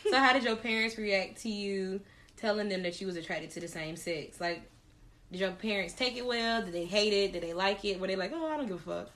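A woman hosting a casual podcast, talking loudly with crude jokes and laughter. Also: mid-range voice, a little fast. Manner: loud, laughing, crude joking. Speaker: podcast host. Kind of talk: casual chat.

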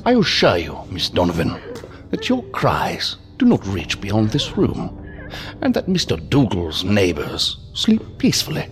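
A man's voice: on the low side, wildly swooping pitch, unhurried. A man playing a sinister, courtly, inhuman villain in an audio drama, verbose and mockingly polite in a mannered, theatrical way. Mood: mocking, menacing. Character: sinister, courtly, unhurried villain, inhuman interrogator posing as a gentleman. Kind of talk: science fiction audio drama acting.

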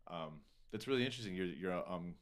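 A man's voice: low voice, lively intonation, quick pace. An American man from Arizona hosting a casual conversational interview, talking in a laid-back, slangy way with frequent use of "like". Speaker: podcast host and interviewer.

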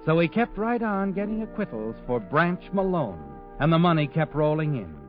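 A tinny vintage radio recording with music behind a sardonic, all-knowing male narrator. His delivery is dramatic and needling, with highly animated pitch, as he narrates a crime drama. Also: moderate pace. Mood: knowing, ominous. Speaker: host and narrator. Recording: tinny narrow-band old radio recording, musical backing.

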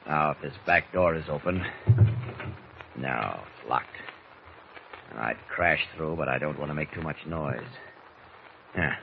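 A man playing a bold, confident hero who narrates his own actions in a hushed voice, in 1940s radio style. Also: extremely low pitch, measured pace, creaky, long pauses, heavily acted. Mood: stealthy resolve. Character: bold, confident adult male hero working stealthily alone. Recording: muffled, noisy old radio recording.

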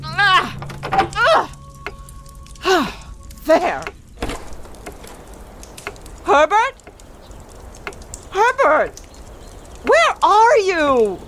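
Shrill, frantic grieving mother. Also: huge pitch swings, very slow, long pauses, a bit bright, slight background noise, full character voice. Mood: grief-stricken wailing. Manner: wailing, frantic, pleading. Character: desperate grieving elderly mother, frantic for her dead son. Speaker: actor. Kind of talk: horror audio drama acting.

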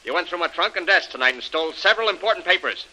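An actor playing a suspicious, ruthless villain in a 1930s radio serial, talking in blunt, sarcastic slang. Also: male voice, high voice, expressive, racing, bright, forceful tone, heavily acted, poor audio. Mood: menacing. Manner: hard-boiled, sarcastic, blunt slangy talk. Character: ruthless, suspicious male villain, cold and menacing. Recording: vintage 1930s radio recording.

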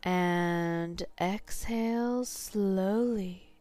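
A woman leading a sleep hypnosis session in a slow, unhurried voice. Her tone is mellow and soft, often slipping into a breathy near whisper as she guides the listener to relax. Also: medium pitch, dry recording. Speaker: hypnotherapist host.

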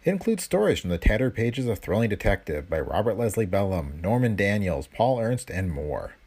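A man introducing an old radio show on a podcast in a deliberate announcing tone. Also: lowish voice, big pitch swings, a little mellow, breathy edge. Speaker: podcast host. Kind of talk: scripted introduction.

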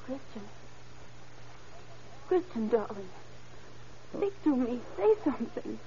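A woman's voice: a little high, big pitch swings, slow pace, warm tone, heavily acted. An actress playing a frantic, tearful young woman grieving her wounded sweetheart in an old radio drama. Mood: tender, anguished. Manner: breathless, tearful, melodramatic. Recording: muffled, noisy old radio recording.

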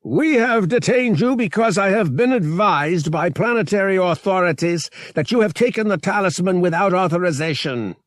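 Man playing a stern, high-pitched commanding warship captain. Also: expressive, moderate pace, hardly any pauses, dry recording, studio quality. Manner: formal, inexorable, commanding.

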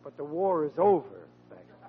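A middle-aged, exasperated American father in a 1940s radio comedy. His voice is on the high side and rough-edged, with plain working-class phrasing. The recording is dull and dated. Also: sing-song, normal speed, exaggerated voice. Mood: sardonic. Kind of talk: 1940s radio sitcom acting.

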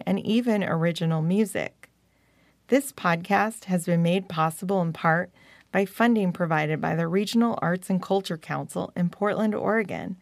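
A woman's voice: on the low side, creaky, no echo. An American woman hosting a podcast, reading scripted credits and thank-yous. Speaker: podcast host.

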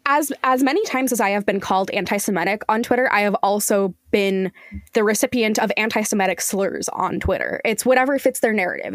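A woman's voice: mid-range voice, no echo. A woman with a mid-range voice talks as an interview guest on a podcast. She is earnest and emphatic, choosing her words articulately. The sound is very dry, with little room around her. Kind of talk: conversational interview.

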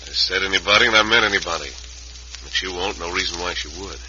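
A dull old radio recording of a ruthless cowboy outlaw. His voice is low and very forceful, his manner terse and cold. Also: fast, a little hiss or hum. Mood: cold, menacing. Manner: terse, hard, folksy cowboy phrasing. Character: cold, ruthless Western bank robber. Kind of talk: old-time radio western acting.

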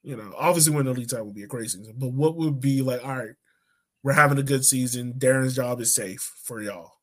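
Guy hosting a sports podcast, normal mid pitch voice, just chatting casually. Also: fast talker, a bit bright. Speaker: podcast host.

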